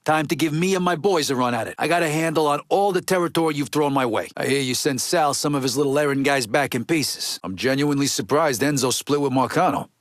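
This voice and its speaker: Game role, male actor: gruff middle-aged American male mob underboss, impatient and streetwise, slightly higher voice, very dry sound. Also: quick pace, few pauses, no room sound, natural voice. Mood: guarded, businesslike. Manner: blunt, profane, streetwise wiseguy talk. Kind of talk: video game dialogue acting.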